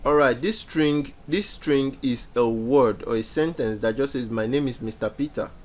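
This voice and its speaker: A man's voice: medium pitch, normal speed, a little mellow. A young man teaching a beginner programming lesson over a screen share, explaining step by step with frequent fillers and repeated phrases. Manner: explanatory, repeats key points with many fillers. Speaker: programming instructor. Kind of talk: screen-share coding tutorial.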